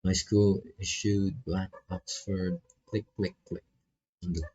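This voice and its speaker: A man's voice: deep voice, level tone, slow, dark tone, slight background noise. A man who is not a native English speaker reads game text aloud as a streamer, with casual asides and stumbles over phrases. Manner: stumbles and repeats phrases while reading. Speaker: streamer playing a visual novel. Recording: dull, lo-fi microphone sound.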